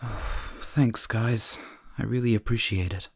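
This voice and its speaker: Man playing an earnest, husky young hero. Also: lowish voice, fairly even intonation, slow, no room sound, studio quality, heavily acted. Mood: gentle, supportive. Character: earnest, caring young protagonist, easily exasperated. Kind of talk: anime-style audio drama acting.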